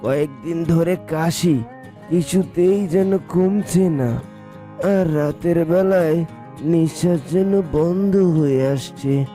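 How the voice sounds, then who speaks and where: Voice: mid-range voice, takes it slowly, dark tone, lightly acted. A performer voicing an ailing, weary villager in a cartoon, weakly complaining. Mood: weary suffering. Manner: weak, complaining. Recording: music under the voice.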